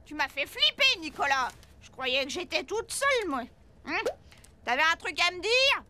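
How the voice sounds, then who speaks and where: A woman voicing a brash, energetic biker mother in a children's cartoon, talking in bossy, breezy slang. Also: very high voice, moderate pace, very noisy. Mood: startled. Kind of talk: animated cartoon voice acting in French.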